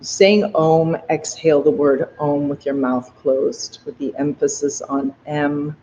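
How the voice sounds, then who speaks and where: A meditation guide leading a guided breathing meditation in a soft, gentle, unhurried way. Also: medium pitch, steady tone, a little hiss or hum. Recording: dull lo-fi recording with faint ambience.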